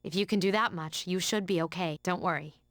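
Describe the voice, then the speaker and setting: An actress playing a stern female high school senior who leads with formal, measured authority in an English video game dub. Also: close to an everyday voice. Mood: resolute.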